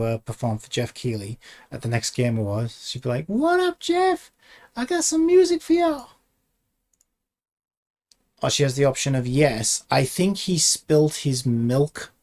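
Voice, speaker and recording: A man's voice: medium pitch, gravelly, darkish tone. A young man giving casual comic commentary as a streamer, reading out a script and riffing on it.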